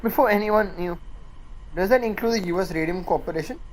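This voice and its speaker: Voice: medium pitch, a little hiss or hum, close to an everyday voice, rough recording. Smug, sardonic corporate lawyer played by a student. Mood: mocking, confident. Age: young adult. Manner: measured, sardonic. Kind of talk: student radio drama acting.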